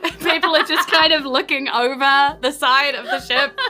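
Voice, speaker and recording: Bright-voiced New Zealand woman running a game. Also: high-pitched, steady tone, normal speed. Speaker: game master. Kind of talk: improvised tabletop game narration. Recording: music playing behind the voice. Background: music.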